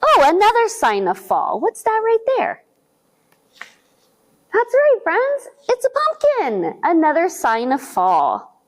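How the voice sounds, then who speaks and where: A woman with a high, very lively voice reads a picture book slowly to little children. She stops often to ask them questions, drawing them into the story. Her pitch swings widely from line to line. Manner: slow, animated, speaking to young children with questions. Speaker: children's librarian reading aloud.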